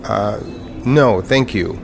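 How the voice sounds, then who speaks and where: An actor playing a newly blind man in an audio drama, halting and understated, stubborn yet wistful. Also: fairly low pitch, gravelly, noisy background. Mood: hesitant. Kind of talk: naturalistic audio fiction acting.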